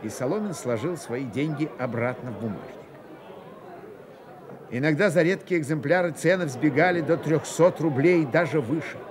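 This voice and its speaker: A man narrating a dramatised novel for Soviet radio theatre in a measured, expressive storytelling manner. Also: a little high. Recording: 1980 radio play, crowd behind.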